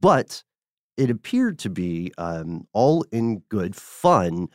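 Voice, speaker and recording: A man's voice: lowish voice, very animated, slow, no echo, studio quality. An American man co-hosting a podcast, telling a story conversationally in a playful, wry way with mock-formal phrasing. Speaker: podcast co-host.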